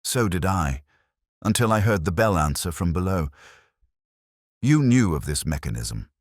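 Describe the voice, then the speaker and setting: A brilliant detective acted by a male narrator speaks in a very deep, dry-sounding voice. He is grave and coolly analytical, delivering deductions in deliberate, theatrical phrases with wide swings of pitch. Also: very animated, no room sound, full character voice. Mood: probing.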